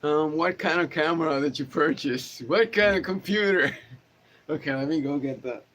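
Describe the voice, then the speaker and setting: A man's voice: on the high side, normal speed, a bit bright. A man with a nasal voice chatting casually with viewers from a hot home studio, rambling with lots of fillers and restarts. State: sweaty in a hot room. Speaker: guitar teacher answering viewer questions. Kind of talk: casual livestream chat. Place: small home studio room, no air conditioning, fan running. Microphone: camera microphone. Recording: faint background noise from a fan.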